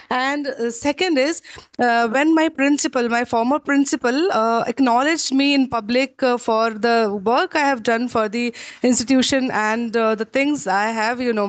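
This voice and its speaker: A woman's voice: high voice, dry recording. A woman with an Indian accent, a participant speaking informally to a group in an effusive, polite manner. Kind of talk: informal sharing in an online session.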